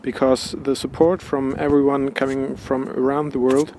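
A young man vlogging outdoors by a harbor into a handheld camera, explaining his model boat slowly and searching for words. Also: mid-range voice, flat delivery, darkish tone. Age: young adult. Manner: slow, searching for words, non-native phrasing. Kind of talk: casual vlog explaining to camera. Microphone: action camera with furry windscreen mic held close. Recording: outdoor wind and traffic noise.

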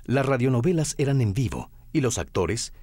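A man's voice: mid-range voice, sing-song, normal speed, a little mellow, slightly rough. A man reading scripted narration as a documentary narrator.

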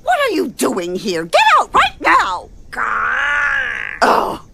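High-voiced scolding mother seagull. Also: huge pitch swings, takes it slowly, creaky, heavy background noise, full character voice. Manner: scolding, exasperated. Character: stern, harried mother seagull in a cartoon. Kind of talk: animated cartoon dub acting. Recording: loud music behind the voice.

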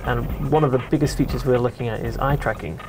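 A man engineer giving a steady technical demonstration of a virtual cockpit. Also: medium pitch, quick pace, rough recording. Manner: flat, steady technical explaining. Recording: music bed underneath.

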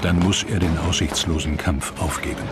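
A man narrating a history documentary from a script, in a grave, measured manner. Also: deep voice, steady tone. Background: music.

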